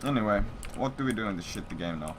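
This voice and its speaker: Noisy stream audio with keyboard clatter, and a man with a fairly low voice talking casually. He rambles like a streamer chatting to viewers, swearing freely and circling back to repeat his blunt remarks. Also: expressive, fast talker. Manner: profane, blunt, repetitive. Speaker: livestreamer.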